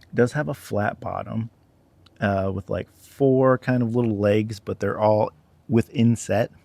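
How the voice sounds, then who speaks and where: A man's voice: lowish voice, steady tone, a little slow, creaky, darkish tone, no echo. A man giving a casual, hands-on product review in an informal way, with trailing asides.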